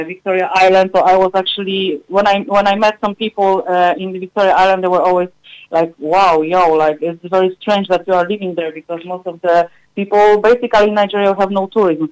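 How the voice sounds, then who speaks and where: Female interview guest, halting and searching for words, narrow pitch movement, dry recording. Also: darkish tone, no room sound. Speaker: guest music researcher and filmmaker. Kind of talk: live radio interview chat.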